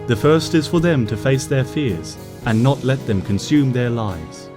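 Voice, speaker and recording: A gentle, wise moon princess pony who talks in formal, old-fashioned phrasing, as a man reads her. Her voice sits at an ordinary middle pitch. This is a storybook reading with soft music underneath. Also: animated, unhurried, natural voice. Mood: tender. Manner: formal, archaic-tinged, tender speech.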